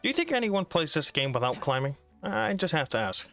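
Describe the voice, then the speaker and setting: Bright-voiced man commenting on gameplay. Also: fairly high pitch, big pitch swings, brisk, soft background music. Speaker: gaming video host.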